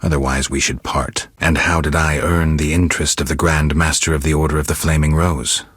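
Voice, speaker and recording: An actor playing a stoic, gruff male monster hunter in a fantasy video game, gravelly, terse and deadpan. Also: very deep voice, fairly quick, no room sound, clean studio sound, heavily acted. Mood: wry, blunt. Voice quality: gravelly, husky.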